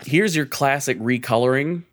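A man hosts a casual, joking talk about a video game. His pitch sits in a middle range, and he comments loosely with plenty of swearing. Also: moderate pace, no echo, clean studio sound. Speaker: podcast host.

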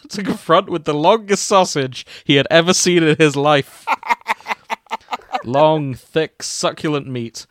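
A man's voice: fairly high pitch, fairly bright tone, no room sound. Giggly male podcast narrator, dry sound. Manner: giggly, often breaking up while reading. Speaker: podcast host reading as narrator. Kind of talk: comic fan fiction reading with casual banter.

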